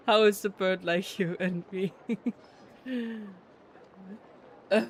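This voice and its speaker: A streamer chatting casually in a rambling, giggly, expressive way. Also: mid-range voice, drawn-out words, a little hiss or hum. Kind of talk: casual livestream chat.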